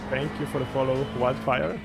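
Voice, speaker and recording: A man from Austria with a strong Austrian German accent, chatting casually with viewers while sim racing, relaxed and chuckling often. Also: mid-range voice, normal speed, vocal fry, rough recording. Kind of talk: casual live-stream chat while driving. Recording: loud music playing behind.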